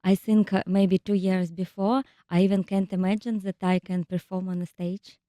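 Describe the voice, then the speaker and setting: A woman from Ukraine speaking English with a Ukrainian accent, answering carefully and haltingly with many fillers. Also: medium pitch, little pitch movement, dark tone, dry recording. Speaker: visual artist and storyteller. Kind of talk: interview answers. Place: radio studio.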